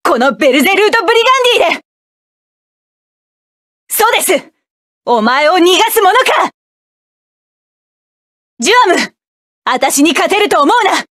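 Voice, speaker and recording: A voice actress playing a proud young mech pilot in a game, shouting commanding, defiant battle lines. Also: female voice, very high voice, big pitch swings, normal speed, long pauses, dry recording, clean studio sound, exaggerated voice. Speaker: mecha pilot character.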